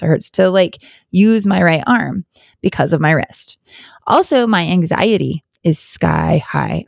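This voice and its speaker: A woman with a hoarse, gravelly voice hosts a solo podcast, chatting candidly and rambling a little. Her pitch sits low, and the recording is close and dry with no room sound. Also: no echo. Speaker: podcast host. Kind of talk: casual solo podcast chat.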